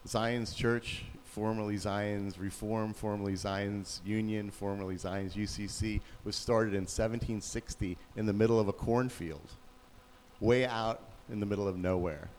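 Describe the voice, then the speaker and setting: A man with a very low voice, a youth pastor, telling his story in a relaxed, plain-spoken way in a church. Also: a little slow, slight background noise. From Pennsylvania, USA.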